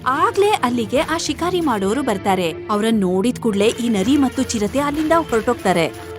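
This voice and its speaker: A woman narrating an animal story in an animated storytelling style. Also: fairly high pitch, normal speed, over music.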